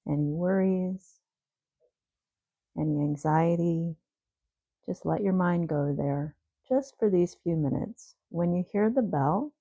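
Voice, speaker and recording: A woman leading a guided sleep meditation, giving gentle, soothing instructions. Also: sits low, husky, no room sound, muffled recording.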